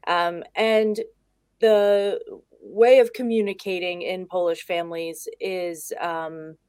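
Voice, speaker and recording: A woman's voice: lowish voice, steady tone, slow, darkish tone, clear, resonant tone, dry recording. A woman speaking as a guest expert on a video call, casual, candid and chatty. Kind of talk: casual conversation.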